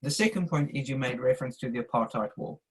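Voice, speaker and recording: An older man with a South African accent speaks over a video call. His voice is husky, and he talks in a measured, earnest way. He sounds like he is giving firm advice. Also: medium pitch. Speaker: audience member asking a question. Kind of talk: online discussion statement.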